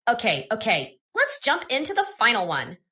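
A woman presenting software in a scripted tutorial narration. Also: fairly high pitch, wildly swooping pitch, moderate pace.

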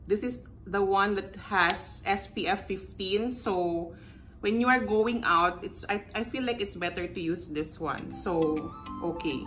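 A woman's voice: medium pitch, a little slow, fairly bright tone, soft background music. A woman, chatting casually and rambling a little while doing her makeup for a vlog at home. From Philippines. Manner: rambling, friendly, self-correcting asides. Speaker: beauty vlogger. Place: bedroom at home, indoors. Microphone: camera in front at close range.

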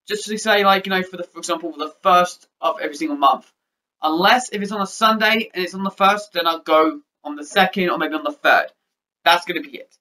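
High bright young man vlogging. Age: young adult. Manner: rambling, restarts often, says he stutters. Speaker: YouTuber. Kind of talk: casual vlog update. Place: bedroom with posters, indoors.

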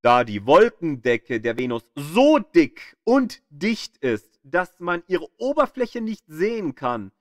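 A man reading scripted educational narration like an audio tour guide, with an expressive storytelling delivery. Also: fairly high pitch, slow pace, few pauses, no room sound, clean studio sound.

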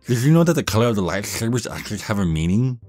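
A man's voice: on the low side, sing-song, quick pace, a little mellow, faint music behind. Nerdy young vampire played by a man: whiny, pedantic know-it-all, talking down to everyone in a goofy roleplay. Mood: smug, argumentative. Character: nerdy, dorky young male vampire, petulant Star Wars know-it-all. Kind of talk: goofy character roleplay monologue.

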